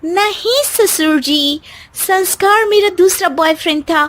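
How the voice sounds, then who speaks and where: A woman's voice: very high-pitched, slow pace, fairly bright tone, exaggerated voice. A woman voicing a spoiled, insolent young daughter-in-law in a story, flippant and peppering her talk with English slang. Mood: insolent, bored.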